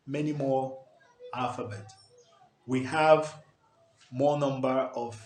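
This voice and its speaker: A man, teaching a language lesson at a whiteboard, emphatic and checking in with "okay?". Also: medium pitch. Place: small indoor room before a whiteboard. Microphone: camera at close range. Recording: echoey room, faint background hiss.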